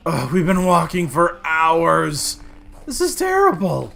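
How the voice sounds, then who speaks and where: A man plays a childish, impatient adventurer in a radio comedy, whining theatrically. The delivery is slow and creaky, with huge swings in pitch. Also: huge pitch swings, bright, forceful tone, soft background music, exaggerated voice. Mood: whiny. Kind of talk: comic audio drama.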